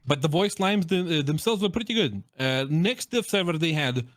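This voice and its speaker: A man's voice: a little high, lively intonation, normal speed, no echo, clean studio sound. A man with a Russian accent, a native Russian speaker, chatting casually and jokingly as a guest streamer giving his opinions. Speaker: guest, game streamer and content creator. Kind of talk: casual chat, giving opinions on game audio.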